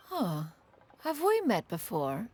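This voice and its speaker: Haughty, stately immortal sage. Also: female voice, a little high, wildly swooping pitch, slow pace, darkish tone, slight background noise, exaggerated voice. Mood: composed, lofty. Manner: stately, archaic, self-important. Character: haughty, dignified immortal adept woman, aloof and formal. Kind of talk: video game voice acting. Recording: music behind the voice.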